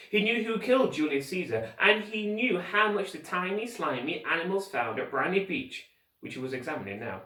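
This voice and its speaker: A man reading a children's story aloud with expressive, emphatic narration and dramatic pauses, like a teacher reading to pupils. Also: fairly high pitch. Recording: echoey room, distant microphone.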